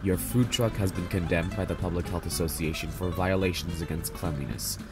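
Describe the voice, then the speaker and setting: A man playing a glum, weary bearer of bad news in an animated short, hesitant and downbeat. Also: low voice, fairly quick, loud music behind the voice, close to an everyday voice. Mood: glum, reluctant. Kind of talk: animated short film dialogue.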